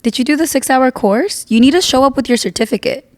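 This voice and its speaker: A woman hosting a podcast in casual chat, her voice a bit raspy, laughing often and sometimes switching into Spanish. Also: sits high, fast talker, dry recording. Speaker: podcast host.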